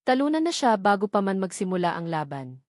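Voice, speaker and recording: A woman's voice: medium pitch, big pitch swings, a little fast, no echo. Woman narrating drama, close dry sound. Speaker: audiobook narrator. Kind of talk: dramatic scripted narration of a Tagalog love story.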